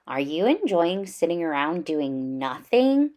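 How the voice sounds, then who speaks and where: A kindly storybook mother bear, acted by a woman reader. She is patient and encouraging, with a very lively, coaxing lilt. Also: low-pitched, unhurried.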